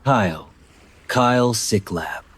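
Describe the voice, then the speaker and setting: A man's voice: lowish voice, slow, fairly bright tone, breathy edge, heavily acted. A man voicing a young Filipino man who guards the way to a hidden kingdom, talking in a teasing, skeptical way. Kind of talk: amateur animated voice acting.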